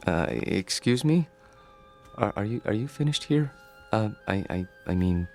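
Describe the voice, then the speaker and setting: An actor playing a shy, lonely, gentle mother's boy in a radio drama, hesitant, stammering and apologetic. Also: lowish voice, expressive, measured pace. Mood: timid, uneasy. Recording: radio play with soft music underneath.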